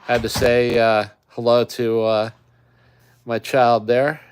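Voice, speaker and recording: A man's voice: mid-range voice, flat delivery, slow pace, a little hiss or hum. A middle-aged man from the Great Lakes region hosting a camping channel, chatting casually on a live stream in a rambling way with lots of fillers.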